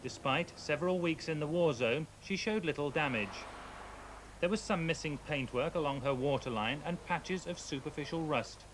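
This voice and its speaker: A man's voice: fairly high pitch, moderate pace. A man reading a scripted news report as a reporter. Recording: clearly noisy old TV broadcast tape.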